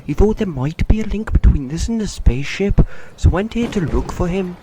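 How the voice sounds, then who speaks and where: A man plays a direct, questioning character in a sci-fi audio drama. His voice is fairly high and very animated, over clear background noise. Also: moderate pace. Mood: concerned.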